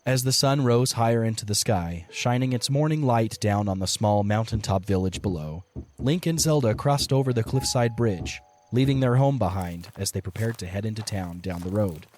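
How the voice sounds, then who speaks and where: A man's voice: lowish voice, normal speed, a little mellow, a little hiss or hum. A man narrating a dramatic story as a scripted audio drama narrator. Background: music behind the voice.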